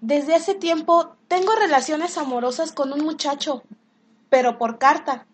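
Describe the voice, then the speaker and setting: High-voiced young daughter played by a woman. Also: unhurried, a little hiss or hum. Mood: shy, excited. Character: bashful, affectionate young daughter in love. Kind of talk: comic radio play acting.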